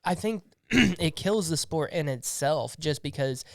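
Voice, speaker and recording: A male podcast host with a gravelly, fry-laden voice, asking relaxed, curious questions. He sits in a small studio, and the sound is close and dry. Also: fairly high pitch, a little slow, no room sound. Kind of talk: casual chat.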